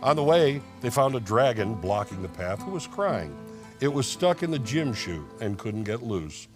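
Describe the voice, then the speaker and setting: Animated man over prominent guitar music. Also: mid-range voice, moderate pace. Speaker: TV show co-host. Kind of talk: casual banter and storybook read-aloud.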